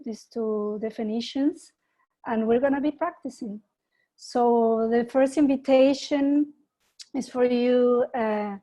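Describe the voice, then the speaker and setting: A woman from Peru, a mindfulness teacher, giving an online talk and gently guiding a meditation over a video call. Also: fairly high pitch, low-quality recording. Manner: slow, soft guiding voice with gentle invitations. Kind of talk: online presentation and guided meditation.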